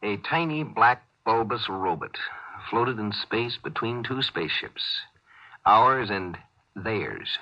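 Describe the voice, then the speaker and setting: Grave, raspy, forceful spacefarer narrator. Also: male voice, on the low side, expressive, exaggerated voice. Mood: grave, ominous. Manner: grave, deliberate, dramatic phrasing. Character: grave male spacefarer narrating a tense standoff. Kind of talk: scripted narration, 1950s radio drama. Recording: dull, band-limited 1950s radio recording.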